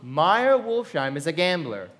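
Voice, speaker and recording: An actor playing a suave, self-assured wealthy gentleman in a live radio play, genteel and knowing, recorded in an echoey hall. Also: a little high, big pitch swings, unhurried. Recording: echoey hall with long reverb.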